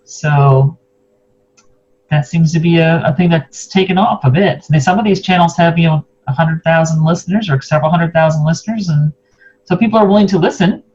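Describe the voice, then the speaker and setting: A woman's voice: deep voice, darkish tone, breathy edge. A woman hosting a casual interview, chatting in an informal, musing way. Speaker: host, interviewer. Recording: dull lo-fi sound.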